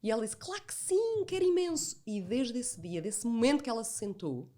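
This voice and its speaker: A woman's voice: on the high side, sing-song, a little fast, warm tone. A woman with a European Portuguese accent, telling stories as an interview guest, chatty, acting out remembered dialogue and repeating phrases for emphasis. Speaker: podcast guest, entrepreneur. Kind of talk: animated storytelling in an interview.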